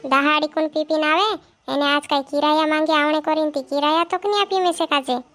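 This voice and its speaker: Very high-pitched nagging village mother, played by a woman. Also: fairly even intonation, brisk, fairly bright tone. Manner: nagging, chiding. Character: scolding village mother nagging her son to marry. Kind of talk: cartoon comedy dialogue.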